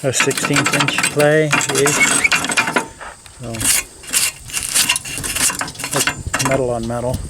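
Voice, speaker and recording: A man's voice: mid-range voice, level tone, drawn-out words, long pauses, heavy background noise. A man giving casual hands-on commentary as host of a workshop build video, plain-spoken and thinking aloud.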